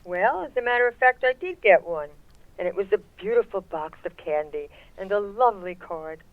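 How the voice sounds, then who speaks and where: A woman playing a sweet, genteel mother who gently advises her grown daughter over a telephone filter, in old-time radio comedy style. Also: medium pitch, animated, normal speed, no room sound. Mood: pleased. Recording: thin, phone-like narrow sound.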